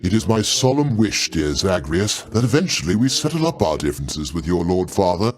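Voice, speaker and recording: A mighty older god king in a video game, speaking like a proud, doting uncle. His delivery is theatrical and courtly, with pitch that swings boldly up and down. He stays genial and grandiose throughout. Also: very animated, a little fast. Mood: commanding, rousing. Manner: grandiose, avuncular, courtly old-fashioned diction.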